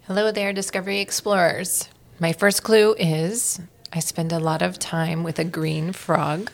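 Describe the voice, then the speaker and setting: A woman reads a children's story expressively as a guest narrator. Her voice is on the low side, with plenty of vocal fry. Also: fairly even intonation, measured pace.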